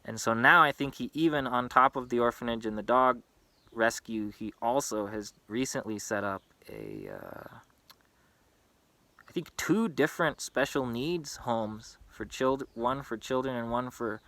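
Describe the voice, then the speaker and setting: Earnest man with a bright, dry voice. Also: mid-range voice, no echo. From East Africa. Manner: earnest, impassioned. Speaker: vlogger. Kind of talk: unscripted monologue.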